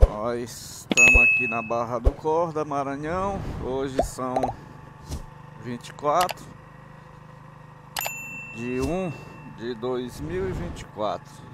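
A man's voice: mid-range voice, expressive, takes it slowly, long pauses. A man with a northeastern Brazilian accent chatting casually as he vlogs from a moving motorcycle, in a folksy, rambling way. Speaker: motovlogger. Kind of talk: casual vlog narration while riding. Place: outdoors, riding a motorcycle on town streets. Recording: motorbike and street noise. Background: engine and traffic sounds.

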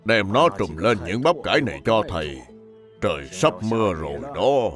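A man playing a calm, kindly but firm elderly monk master in an animated Buddhist drama, speaking slowly and deliberately. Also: fairly low pitch, music in the background. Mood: calm insistence.

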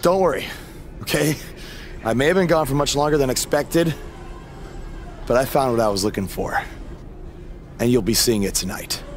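An actor playing an earnest, exasperated young rookie wrestler in a video game, plain-spoken and incredulous. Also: male voice, mid-range voice, moderate pace, pauses often, slight background noise, lightly acted. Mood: reassuring. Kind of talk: video game cutscene acting.

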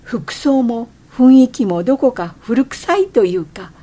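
An actress playing an irritable middle-aged woman hiker who narrates her thoughts in expressive radio drama style. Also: on the high side, a little slow. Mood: observing. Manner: expressive, theatrical inner monologue.

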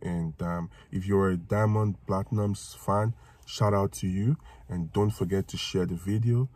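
Guy from africa reacting to a music video in his car, low husky voice, dry sound. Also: measured pace. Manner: excitable, laughs often, jumps high when thrilled. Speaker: reaction channel co-host. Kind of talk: casual reaction chat. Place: inside a parked car. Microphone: phone camera on the dashboard.

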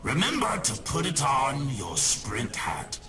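Hammy shadow ghost villain from a video game, pitch flies all over, taunting, loud music behind. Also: male voice, huge pitch swings, slow, heavily acted. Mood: sly, mocking. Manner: taunting, hammy, swinging from mutters to shrieks. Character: gleeful, theatrical shadowy ghost villain, mocking and menacing. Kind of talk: exaggerated cartoon video game villain acting.

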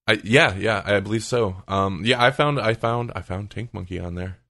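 A man's voice: fairly low pitch, normal speed, pushed and bright, no echo, clean studio sound. A man hosting a podcast, chatting casually and feeling out his words as he goes. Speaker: podcast host.

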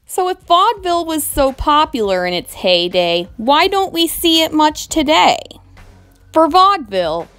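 Woman host, scripted history lesson voice-over, fairly high and animated pitch, soft music underneath. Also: no room sound. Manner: reads from a script, measured and explanatory, with asides to viewers. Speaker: educational video host. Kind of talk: scripted narration over slides.

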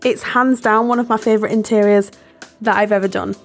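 A hoarse woman, losing her voice, chatting in a rambling, apologetic way over her building video and laughing often. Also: medium pitch, steady tone, a little fast. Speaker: game builder and video host. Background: soft background music.

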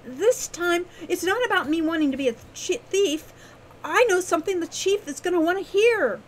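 Woman voicing an eager, high-pitched young girl. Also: lively intonation, normal speed. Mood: pleading, urgent. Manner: pleading, insistent, playful. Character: eager, stubborn young girl, an aspiring thief. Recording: clearly noisy background.

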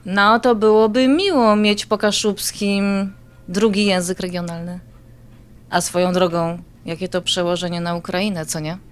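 A woman's voice: mid-range voice, steady tone, measured pace, smooth, natural voice. A woman playing an affectionate adult granddaughter in an audio drama, talking in a coaxing, familiar way. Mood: persuasive.

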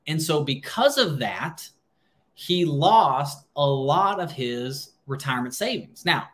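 A man's voice: a little high, animated, slow. A man in his thirties with an American accent, explaining things informally to camera in a conversational, emphatic way, repeating words for stress. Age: 30s. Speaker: financial planner hosting a livestream.

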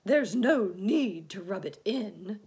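Gloomy storybook earthworm, male, irritable, raised pitch, loud doom-laden protest, played by a woman. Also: lively intonation, slow, slightly rough, full character voice. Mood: snappish. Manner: loud, emphatic wailing protest. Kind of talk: children's storybook read aloud.

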